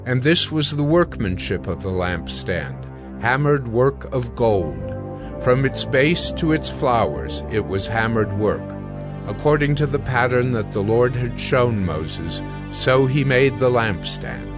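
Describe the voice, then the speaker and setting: A man narrating dramatized scripture in a measured, solemn manner. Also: mid-range voice, expressive. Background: music.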